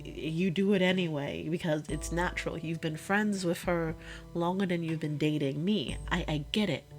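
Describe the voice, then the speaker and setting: A woman performs a wounded, exasperated young girlfriend having it out with her boyfriend in an audio roleplay. Her voice sits on the low side for a woman. She speaks in halting, emphatic bursts, repeating points to drive them home, over light guitar music. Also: lightly acted. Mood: hurt, firm. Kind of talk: intimate audio roleplay acting, one-sided conversation.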